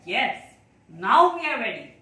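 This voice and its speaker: A woman performing a theatrical monologue as an anxious, chatty, naive child, talking to themself in a dramatic, deliberate way. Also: a little high, very animated, pushed and bright, full character voice. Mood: playful, musing. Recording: very echoey room, distant mic, faint noise.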